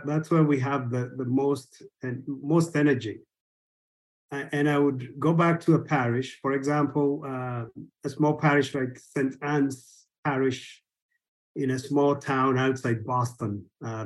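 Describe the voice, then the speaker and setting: Male church partnerships rep, earnest, repeats words as he talks. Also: a little high. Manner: stammering restarts, earnest. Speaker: church global partnerships staff. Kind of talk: webinar discussion.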